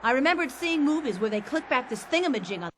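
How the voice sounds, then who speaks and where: A woman's voice: high-pitched, big pitch swings, fast, a little hiss or hum. A woman playing a plucky, anxious adventurer in a science fiction radio drama, speaking in an earnest, candid way. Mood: tense, recounting. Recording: loud ambient sound effects behind.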